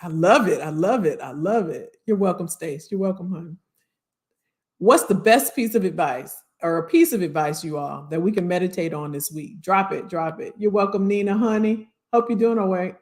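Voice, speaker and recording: An American woman hosting a casual live career Q&A, chatting familiarly with viewers in folksy slang and repeating her phrases, her voice slightly hoarse. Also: fairly low pitch, lively intonation, moderate pace, darkish tone.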